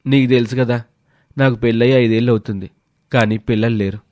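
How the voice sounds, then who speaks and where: A man's voice: mid-range voice, fairly even intonation, brisk, a little mellow, no room sound, clean studio sound, lightly acted. A man playing a troubled, devoted husband in a moral story, speaking in a plaintive, confiding way. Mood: troubled pleading. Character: troubled married man, childless, devoted to his wife. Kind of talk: dramatised moral story acting.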